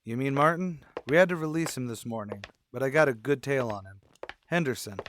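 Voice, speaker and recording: An actor plays a gruff, dogged police detective in a radio crime drama. His medium-pitched voice is terse and hard-boiled, with noticeable noise on the recording. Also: a little fast, a bit bright, lightly acted. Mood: urgent. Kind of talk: old-time radio police drama dialogue.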